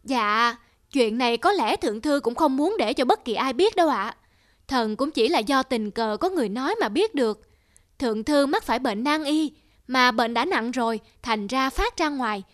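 A woman's voice: high-pitched, animated, normal speed. A woman voicing an envious, insinuating male court official in a cartoon folktale. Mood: feigned deference. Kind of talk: historical cartoon folktale dub.

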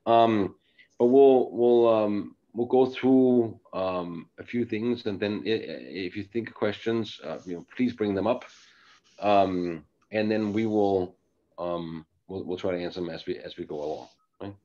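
A very deep-voiced middle-aged man from Denmark talks through a work presentation over a video call from his living room. He rambles with plenty of fillers, restarts and doubled words. The sound is dull and lo-fi, like a laptop webcam mic. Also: a little slow, dark tone. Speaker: engineering executive presenter. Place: living room at home, evening.

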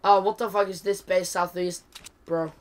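A man's voice: sits high, level tone, normal speed, a little hiss or hum. A young man from Norway whose voice keeps cracking, chatting casually with a teammate while gaming. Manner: laid-back, swears, laughs often.

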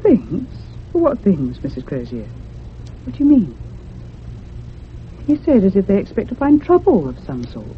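An actress playing a curious, insistent convalescent lady who narrates in a measured literary way in a radio drama. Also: mid-range voice, huge pitch swings, a little hiss or hum. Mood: insistent, puzzled. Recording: muffled, phone-like old radio sound.

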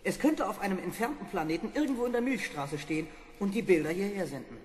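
A man playing a defensive, excitable spaceship officer in a German audio drama, with emphatic, argumentative diction. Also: high-pitched, fast talker, a little hiss or hum. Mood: intrigued. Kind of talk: 1970s German children's audio drama acting. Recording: reverberant vintage audio drama.

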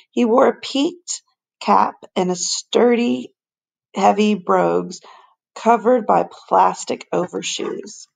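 A woman teacher reading a children's novel aloud to her class in a steady, expressive way. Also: medium pitch, vocal fry.

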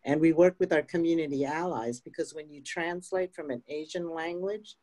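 A woman's voice: low-pitched, moderate pace, dark tone. An older woman, a union representative, speaking emphatically on a video panel and tagging points with "right?". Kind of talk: panel discussion. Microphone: video call.

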